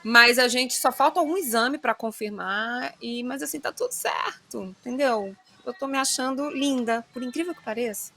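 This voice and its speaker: A woman's voice: fairly high pitch, normal speed, few pauses, pushed and bright. A woman in her forties, co-hosting a radio show, chatting expressively and casually. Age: 40s.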